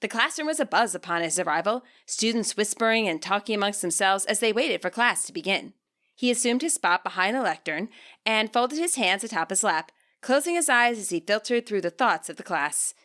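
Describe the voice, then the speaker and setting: Woman, scripted narration, medium pitch, measured, clearly enunciated, podfic reader. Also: animated, a bit bright. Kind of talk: scripted narration, reading fiction aloud.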